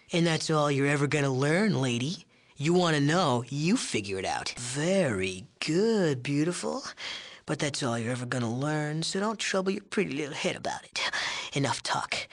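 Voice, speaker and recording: An actor playing a cocky, lecherous young drug chemist in a video game, with a smug, sneering, streetwise and defensive manner. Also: male voice, fairly high pitch, a bit bright, exaggerated voice. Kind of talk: video game character acting.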